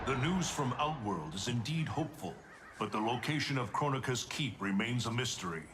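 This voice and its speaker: An actor playing a wise, solemn thunder god who speaks in a measured, formal way in a video game cutscene. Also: male voice, mid-range voice, lively intonation, loud music behind the voice, natural voice. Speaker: mentor.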